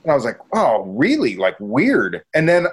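A man's voice: mid-range voice, wildly swooping pitch, normal speed, husky. A man reviewing movies casually as a podcast co-host, enthusiastic and swearing freely.

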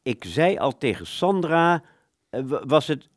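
A man playing a polite, old-fashioned gentleman in a radio play, formal and hesitant, with small stammers. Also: fairly high pitch, sing-song, measured pace, dry recording, studio quality. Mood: hesitant, fussy.

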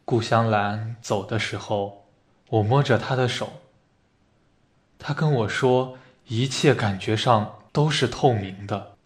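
A man acting a dying hospital patient in a radio play, calm, resigned and reflective as he speaks quietly. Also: fairly low pitch, slow. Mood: dreamy, reflective. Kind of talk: amateur radio drama reading of a stage play.

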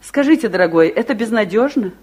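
An actress playing a fussy, practical middle-aged mother, easily exasperated but soft-hearted, in a 1960s Soviet radio play. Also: medium pitch, a little fast, breathy edge. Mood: politely apologetic. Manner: expressive, fussing, theatrical.

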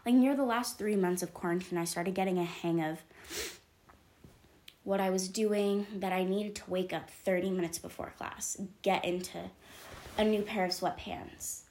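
A woman's voice: mid-range voice, moderate pace, a little mellow. A teenage girl with sniffles from allergies, talking openly to her camera in a rambling, casual vlog. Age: late teens. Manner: chatty, rambling, lots of ums and likes. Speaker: vlogger.

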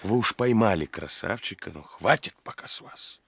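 A man playing a weary, fatherly older detective in a radio play, with a gravelly, husky voice and reproachful manner. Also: fairly low pitch, lively intonation, moderate pace, fairly bright tone, no echo, exaggerated voice. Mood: reproachful, pleading.

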